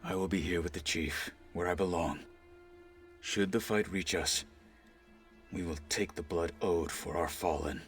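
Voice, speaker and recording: An actor playing a stoic, loyal warrior marshal in a video game, grave and measured in speech. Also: male voice, deep voice, steady tone, faint music behind. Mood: grave resolve. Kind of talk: video game cutscene acting.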